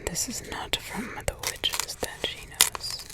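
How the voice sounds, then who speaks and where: A woman in an ASMR roleplay voicing a centuries-old witch mother who softly whispers, slowly ponders and hums to herself between thoughts. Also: natural voice. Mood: musing. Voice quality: soft whisper throughout.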